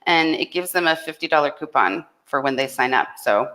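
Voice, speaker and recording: Woman, low pitched but bright n forceful, upbeat company rep explaining stuff casually on a live chat. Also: animated, fairly quick, low-quality recording. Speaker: company representative co-hosting.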